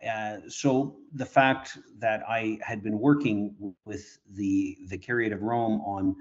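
Priest from canada on a muffled remote line, lowish voice, keeps restarting words. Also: male voice, steady tone. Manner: measured, restarts words often. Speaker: priest and exorcist guest. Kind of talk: remote interview answers. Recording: dull lo-fi remote audio.

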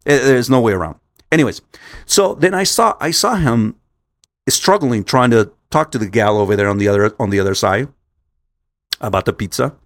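A man's voice: mid-range voice, lively intonation, moderate pace, a bit bright, dry recording, clean studio sound. A man, a Spanish speaker, telling a casual story to viewers in a rambling, repetitive, stop-start way peppered with swearing. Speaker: vlogger.

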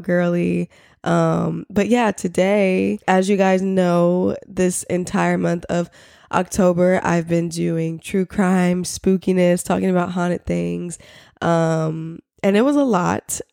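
Congested, raspy young woman podcaster. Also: lowish voice, mellow, no room sound, studio quality. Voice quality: nasal and raspy. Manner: chatty, filler-heavy, with little laughs. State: sick and congested, hoarse. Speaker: podcast host. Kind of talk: casual solo podcast chat.

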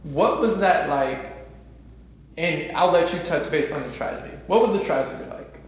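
A woman hosting an interview, asking her guest questions in a gentle, encouraging way. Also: low-pitched, lively intonation, normal speed. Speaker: host. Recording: echoey hall, faint noise.

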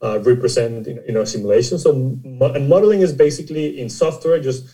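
A man from Costa Rica, a startup CEO, answering interview questions in a halting way, often repeating words as he explains. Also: medium pitch, dark tone, poor audio. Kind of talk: conversational interview.

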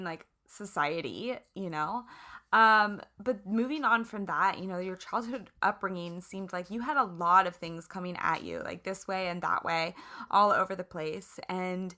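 A woman's voice: on the low side, pushed and bright. A woman hosting a podcast, bubbly and chatty, with many "like"s and "you know"s. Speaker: podcast host. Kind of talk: casual interview chat.

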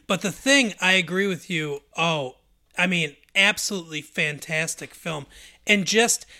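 Man with a higher, bright voice. Easygoing podcast co-host who agrees readily and hunts for words. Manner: agreeable, halting, full of fillers. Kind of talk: casual movie chat.

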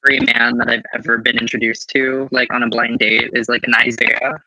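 A man in his twenties from Southern California, chatting as a guest host on a podcast, halting with many fillers and restarts. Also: medium pitch, level tone, sharp tone, dry recording, rough recording. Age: 20s. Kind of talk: casual podcast chat.

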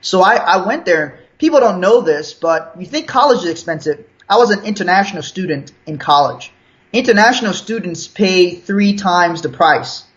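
A man's voice: high-pitched, animated, moderate pace, a bit bright. Easygoing man with an american accent. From Liberia. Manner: easygoing storyteller, frequent restarts and chuckles. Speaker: guest. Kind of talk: casual interview chat. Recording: dull lo-fi sound.

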